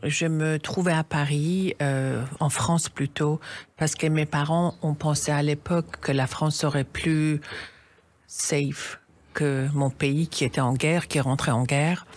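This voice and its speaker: A middle-aged woman from Iran, a charity founder telling her story in a radio interview in earnest, halting phrases with restarts. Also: low voice, fairly even intonation, darkish tone.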